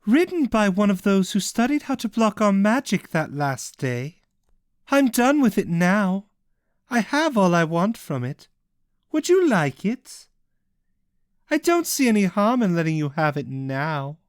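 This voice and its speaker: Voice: medium pitch, big pitch swings, a little slow, clean tone, no echo, studio quality, voice pushed up. A storyteller voicing a cloaked woman villain who teases in a patient, cold way. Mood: teasing.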